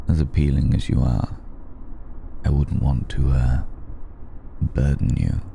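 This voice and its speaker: A man playing a courteous, protective aristocratic vampire in an intimate ASMR roleplay, speaking formally and hesitantly with long pauses in a gravelly, dark voice. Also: extremely low pitch, a little hiss or hum, heavily acted. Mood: amused, cautioning. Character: ancient aristocratic male vampire, courteous, protective, quietly amused.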